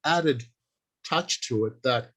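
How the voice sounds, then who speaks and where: A man's voice: medium pitch, slow, fairly bright tone, breathy edge. A man giving an informal instructional talk as a writer, explaining things plainly and stressing key points, partly improvising from notes. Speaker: writer and convention panel speaker.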